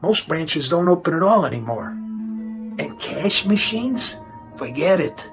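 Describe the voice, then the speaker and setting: A man's voice: on the high side, very animated, over music. Folksy working-class New repairman. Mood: apologetic, weary. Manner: folksy, halting, colloquial. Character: working-class repairman, tired, decent, apologetic. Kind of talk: radio drama acting.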